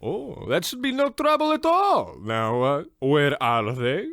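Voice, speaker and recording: A man plays a haughty shoemaker from an old-time radio comedy. His fairly high voice swoops up and down with theatrical pomp, recorded close and dry. He sells bluntly and grandly. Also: very animated, unhurried, fairly bright tone, no room sound, exaggerated voice. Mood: puzzled, accommodating. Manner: pompous, theatrical, swooping delivery.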